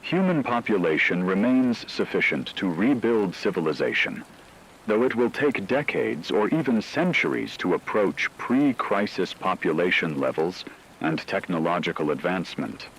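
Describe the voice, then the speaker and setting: A grave male news anchor performed by an actor delivers an apocalypse radio bulletin. His low voice is measured and formal, with faint noise behind the scripted report. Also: animated, a bit bright, poor audio.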